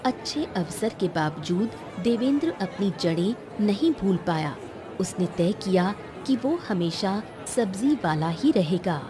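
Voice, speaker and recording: A woman's voice: medium pitch, expressive, unhurried, a crowd in the background. A woman reading scripted narration for a cartoon moral story. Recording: music bed under the voice.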